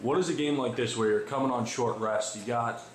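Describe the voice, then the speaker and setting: A man's voice: mid-range voice, a little fast, a crowd in the background. A man asking questions as a sports interviewer after a game. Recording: echoey room.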